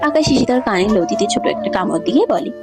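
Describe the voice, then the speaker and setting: Fast-paced woman narrating a story. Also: on the high side, huge pitch swings, music in the background.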